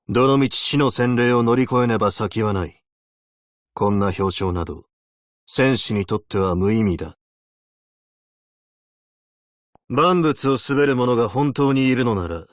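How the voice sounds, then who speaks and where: A man's voice: mid-range voice, dry recording, clean studio sound, lightly acted. A man voicing a stern, weary veteran abyssal hunter in a video game, speaking in a terse, solemn, commanding way. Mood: cold, stern. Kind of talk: Japanese video game character voice lines.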